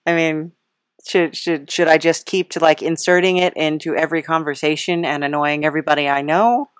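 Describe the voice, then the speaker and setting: A woman with a slightly low voice hosts a playful interview podcast. She talks casually and hesitantly, wandering through her questions with a giggly edge. The sound is dry, with very little room. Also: fairly even intonation, brisk, smooth, no room sound.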